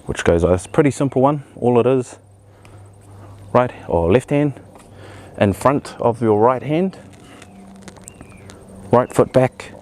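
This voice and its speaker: A man, his voice raspy after a cold, explaining movements step by step as a relaxed instructor and channel host. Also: medium pitch, a little hiss or hum. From New Zealand. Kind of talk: casual spoken tutorial.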